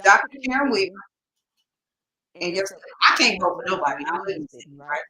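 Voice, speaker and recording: Lively, bright-voiced woman host. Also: medium pitch, brisk, low-quality recording. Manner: frank, affirming, lots of backchannel. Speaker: podcast host. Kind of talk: casual podcast interview.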